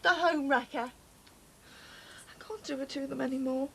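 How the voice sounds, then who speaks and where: An actress playing a defiant, sharp-tongued partner in a TV soap. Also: female voice, high voice, moderate pace, vocal fry, slight background noise. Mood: upset. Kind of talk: 1990s TV soap acting.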